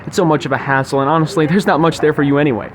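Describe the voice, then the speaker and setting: A man's voice: on the high side, steady tone, very fast, noisy background. A young man hosting a podcast in a mock-formal, grandiose, wordy scripted style inside a busy restaurant. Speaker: podcast host. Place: recorded inside a sushi restaurant. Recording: busy restaurant chatter around the voice.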